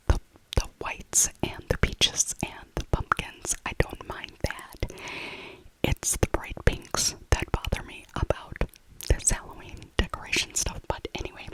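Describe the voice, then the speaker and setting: Whispering middle-aged woman, ASMR voiceover. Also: low-quality recording. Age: 50s or 60s. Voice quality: breathy unvoiced whisper. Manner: rambling, repeats phrases for soft emphasis. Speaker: ASMR video host.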